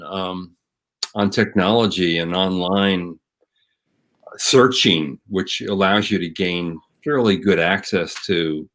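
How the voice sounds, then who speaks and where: A man speaking as a show guest, giving appreciative, conversational commentary in measured phrases. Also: low voice, fairly bright tone.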